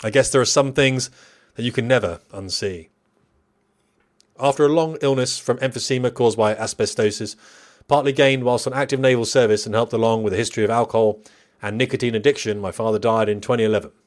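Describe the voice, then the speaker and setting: A middle-aged man reading a personal memoir as scripted narration, in a measured, reflective storytelling manner. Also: medium pitch, fairly even intonation.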